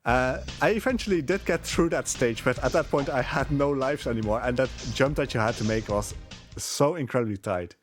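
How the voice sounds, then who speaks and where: A man's voice: mid-range voice, brisk. A man giving casual live speedrun commentary, hesitant and rambling as he plays. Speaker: speedrunner commentating on own run. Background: game music.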